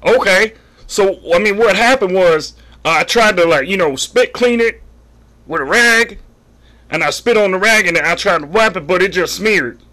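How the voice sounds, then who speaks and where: A man's voice: high voice, normal speed, bright, forceful tone. A man voicing a folksy, sheepish husband in a prank call, rambling earnestly over the phone. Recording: phone line audio.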